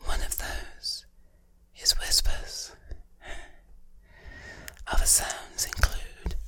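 A woman delivers ASMR in a breathy whisper with almost no voice in it. She speaks slowly and deliberately, leaving pauses between short phrases. The whisper is bright and crisp at the edges as she addresses the listener intimately. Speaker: ASMR host.

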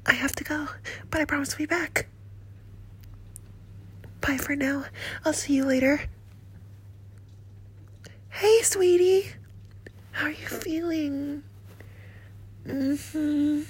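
A woman voicing a doting, flirtatious nurse in a whispered roleplay, with a soft, breathy, slow and coaxing delivery. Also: high voice. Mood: whispery, doting. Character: doting, flirtatious female nurse, motherly and teasing.

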